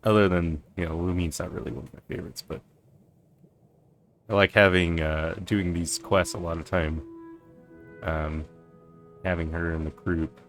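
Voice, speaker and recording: A man giving casual, low-key playthrough commentary, rambling as he thinks aloud. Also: fairly even intonation, moderate pace, long pauses. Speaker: game streamer. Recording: game music behind the voice. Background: game music.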